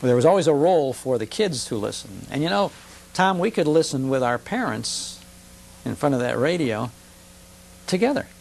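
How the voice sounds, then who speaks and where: A man's voice: mid-range voice, very animated, moderate pace, creaky, darkish tone, rough recording. American man reminiscing fluently, faint hum behind. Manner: fluent storyteller, speaks in emphatic short phrases with rhetorical questions. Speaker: guest, old-time radio broadcaster and enthusiast. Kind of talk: nostalgic conversational interview talk. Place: television studio. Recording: older TV broadcast with faint hiss and hum.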